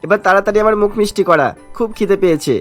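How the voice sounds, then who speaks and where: A man voicing a warm, jolly young brother in a cartoon, affectionate and hearty, with music behind. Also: sits high, very fast, lightly acted. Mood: affectionate, cheerful. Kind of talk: cartoon story acting.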